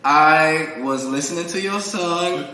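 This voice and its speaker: A man's voice: a little high, slow, pushed and bright. A man hosting a casual chat interview in an informal, encouraging way. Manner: informal, encouraging, affirming the guest. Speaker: podcast host, interviewer. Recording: echoey room, faint background noise.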